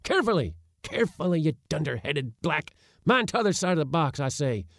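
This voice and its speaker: A man's voice: mid-range voice, unhurried, creaky, pushed and bright, no echo, clean studio sound, pitched above the performer's own voice, heavily acted. A man voicing an impatient, bossy elderly uncle in a storybook reading, with a blustering, pompous, exclamatory manner. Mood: impatient, roaring.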